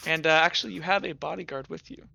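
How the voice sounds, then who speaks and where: A man narrating a tabletop roleplaying game as game master, improvising and often halting to search for words. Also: fairly high pitch, creaky, sharp tone.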